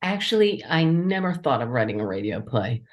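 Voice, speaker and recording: A woman's voice: very deep voice, sing-song, normal speed. A woman speaking conversationally as an interviewed writer, enthusiastic and appreciative in her manner. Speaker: interviewed playwright and editor.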